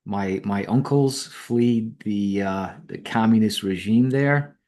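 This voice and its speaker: A man's voice: lowish voice, takes it slowly, a little mellow. A middle-aged man, a guest from Romania, talking frankly and easily on a remote call, often chuckling. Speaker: podcast guest, copywriter. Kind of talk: casual conversation.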